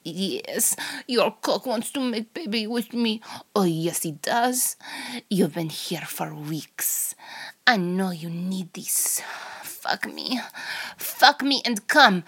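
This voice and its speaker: A woman acts out a domineering Russian female spy in an adult audio roleplay. The voice is husky and breathy, with a crackle of fry, often dropping to a whisper. The Russian-accented delivery is slow, teasing and full of threat. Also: medium pitch, animated, fairly bright tone, heavily acted. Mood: coaxing, sultry. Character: seductive, menacing Russian female spy interrogating a captive.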